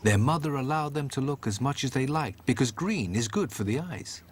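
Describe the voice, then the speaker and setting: Expressive man narrating a fairy tale. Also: mid-range voice, moderate pace, a little hiss or hum. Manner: theatrical, expressive storytelling. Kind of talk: scripted storybook narration with dramatic delivery.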